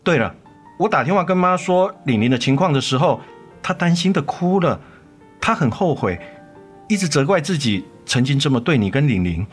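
An actor playing a tender, remorseful father and ex-husband in a radio drama, earnest and gentle in manner. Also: on the high side, sing-song, moderate pace. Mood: remorseful, pleading. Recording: music under the voice.

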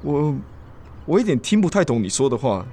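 A man's voice: fairly high pitch, very animated, a little fast, music in the background. An actor playing an eager, chatty suitor in a radio drama, stammering and rambling as he talks. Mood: flustered. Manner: stammering, rambling, sentence-final particles. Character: eager, chatty, flustered suitor proposing to a woman.

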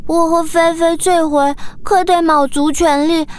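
A woman's voice: very high voice, fairly even intonation, unhurried. A woman voicing an earnest, eager young dolphin schoolboy in a children's audio drama. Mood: earnest, modest.

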